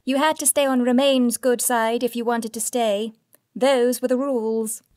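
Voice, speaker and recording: An actress playing an irreverent, arch storyteller who narrates and comments in an audio drama. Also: female voice, a little high, steady tone, normal speed, darkish tone, dry recording, clean studio sound, close to an everyday voice. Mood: wry. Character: wry, irreverent female storyteller commenting on a scandalous life.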